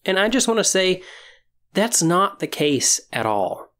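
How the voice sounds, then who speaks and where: A man hosting a podcast monologue, explaining and giving tips in a measured way with emphatic phrasing. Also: high voice, very animated, a bit bright. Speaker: podcast host.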